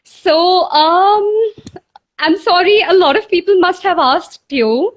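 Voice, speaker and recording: A woman's voice: very high voice, slow pace. A woman hosting a casual live interview, effusive and complimentary, laughing often between questions. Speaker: interviewer, host.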